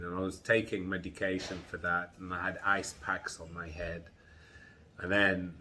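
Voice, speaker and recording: A congested, middle-aged man talks slowly and falteringly, pausing often as he recounts being ill. His voice sits very low but has a bright edge. Faint noise hums behind him. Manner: slow, halting, tired recounting. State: congested, groggy chest, sore throat. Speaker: vlogger. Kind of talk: personal health vlog.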